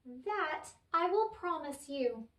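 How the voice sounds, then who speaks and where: Woman voicing a high-pitched, cranky little old leprechaun. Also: expressive, slow pace, exaggerated voice. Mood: instructing. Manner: sharp, folksy, crafty. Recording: roomy, echoey, distant microphone.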